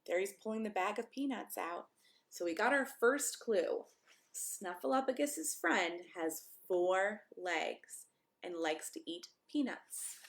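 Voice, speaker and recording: A woman reading a picture book aloud to young children, often asking them questions in an expressive way. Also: medium pitch, slow. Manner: expressive, encouraging questions to listeners. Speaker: pastor reading a story to children. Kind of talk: read-aloud storytime, chatting to young children.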